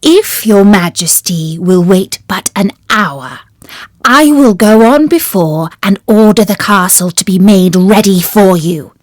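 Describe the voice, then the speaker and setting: A woman's voice: mid-range voice, expressive, unhurried, dry recording, studio quality, lightly acted. A woman voicing a clever, polite male cat in a storybook, speaking courteously and persuasively.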